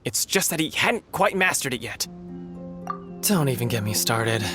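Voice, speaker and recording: An actor playing a small, earnest, dejected martial arts fighter in a video game, wordy and complaining. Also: male voice, mid-range voice, big pitch swings, normal speed, over music, lightly acted. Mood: flustered, exasperated.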